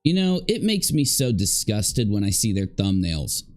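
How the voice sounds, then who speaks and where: A man's voice: fairly low pitch, big pitch swings, normal speed, darkish tone, soft background music. A man commenting live, reacting to clips and talking to his chat in a sarcastic, rambling way. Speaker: livestream commentator.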